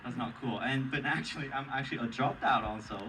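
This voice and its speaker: A man's voice: mid-range voice, fast talker, a loud crowd. A man with a Vietnamese accent, an actor on a stage panel, speaks earnestly and with emotion, often breaking off and restarting his sentences. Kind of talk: casual, personal panel Q&A answers. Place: large theater, Q&A on stage. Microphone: audience member's camera from the seats. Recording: distant, noisy audience camera recording.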